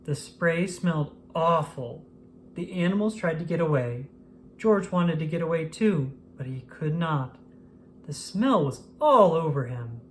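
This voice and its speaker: A narrator reading a children's picture book aloud in an expressive, scripted storytelling style. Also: mid-range voice, a little slow, a little hiss or hum. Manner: expressive, acts out exclamations and dialogue.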